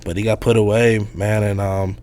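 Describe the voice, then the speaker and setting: A man hosting a casual sports podcast, talking through a hoarse voice in a loose, rambling way with lots of fillers. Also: on the low side, level tone, moderate pace, darkish tone. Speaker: podcast host.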